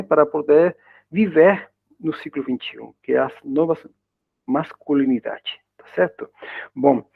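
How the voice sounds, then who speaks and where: A man with a Spanish accent that is mixed with a Brazilian one gives an informal online lecture in Portuguese, keeps adding little tag questions, and often restarts his words. His voice is fairly high and gravelly. The sound is dry and thin, like a phone call. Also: no echo. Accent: Spanish-accented Portuguese, partly Brazilian. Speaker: guest lecturer. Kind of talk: academic web conference talk. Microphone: video call. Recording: dry tinny video call audio.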